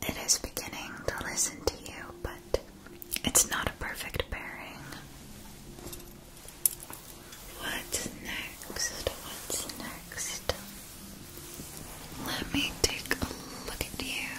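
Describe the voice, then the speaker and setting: A woman's voice: slow pace, close to an everyday voice, poor audio. A woman playing a solemn, mystical wand maker in an ASMR fantasy roleplay, speaking in soothing, formal storybook phrases. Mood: gentle, appraising.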